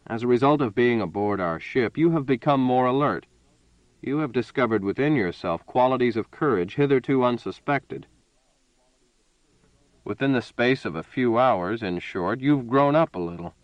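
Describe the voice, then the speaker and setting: A man's voice: on the low side, no echo, highs cut off, natural voice. A man voicing a sardonic older ship's officer who lectures in a dry, satirical way.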